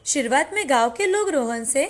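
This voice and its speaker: A woman's voice: sits high, expressive, moderate pace. A woman storyteller reading a scripted moral tale as narrator. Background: faint background music.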